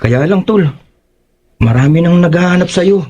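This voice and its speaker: A man as high-voiced young loyal friend. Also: animated, normal speed, mellow, highs cut off. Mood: reassuring. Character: young man, loyal friend, reassuring but easily rattled. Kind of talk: horror audio drama acting. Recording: clear background noise.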